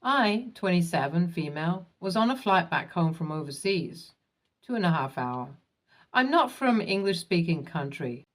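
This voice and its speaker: Low-voiced woman reading stories evenly. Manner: even reading pace, spells acronyms letter by letter. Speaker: narrator. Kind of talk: scripted narration of online posts.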